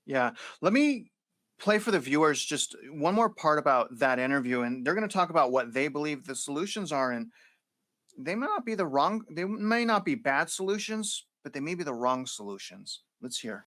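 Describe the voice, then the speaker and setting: A man hosting an interview, talking in an animated, conversational way and using fillers. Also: fairly high pitch, normal speed. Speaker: host.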